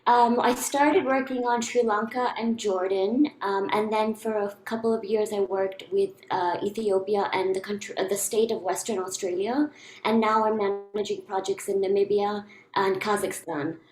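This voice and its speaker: A woman's voice: mid-range voice, fairly even intonation, a little mellow. Articulate young woman in an echoey room. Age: young adult. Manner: articulate and quick, with light fillers. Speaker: alumni panelist, researcher. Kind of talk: casual self-introduction. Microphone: computer mic on a video call.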